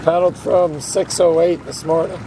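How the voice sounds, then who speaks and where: A man with a hoarse, failing voice, chatting casually to viewers while paddling a canoe on a river. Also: fairly high pitch, flat delivery, moderate pace. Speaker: vlogger on a solo canoe trip. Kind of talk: casual talk to camera. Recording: outdoor noise of wind and water. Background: wind and water noise.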